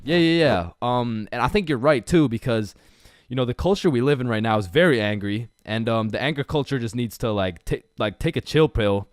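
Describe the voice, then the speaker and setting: A man's voice: medium pitch, lively intonation, a little fast, no room sound, studio quality, pitched below the performer's own voice, close to an everyday voice. A man voicing a shady, clueless male slacker substitute teacher who rambles hesitantly in a cartoon story. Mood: clueless rambling.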